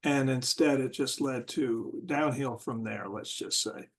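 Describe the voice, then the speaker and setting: A man in a casual group discussion, talking haltingly and searching for words with many restarts. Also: medium pitch.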